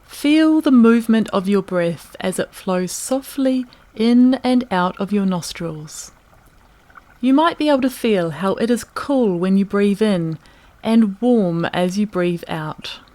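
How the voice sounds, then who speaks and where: A woman narrating a guided meditation in a calm, gentle, unhurried manner, leaving long pauses between phrases. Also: mid-range voice.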